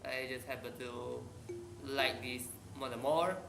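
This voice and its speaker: A man's voice: medium pitch, steady tone, unhurried, vocal fry. A man from Malaysia streaming a visual novel, reading its text aloud and chatting in casual asides. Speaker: game streamer. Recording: roomy with music behind.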